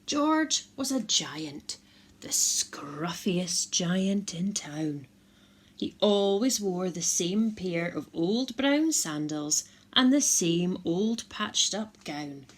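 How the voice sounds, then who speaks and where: Expressive, slow-paced woman storyteller. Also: lowish voice. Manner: expressive, measured, addressing small children. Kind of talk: children's bedtime story narration.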